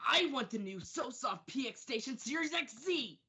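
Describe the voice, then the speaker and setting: A woman's voice: high-pitched, animated, measured pace, pushed and bright, slightly rough, heavily acted. A woman playing a bratty, demanding young boy in a comic radio sketch, whiny and insistent.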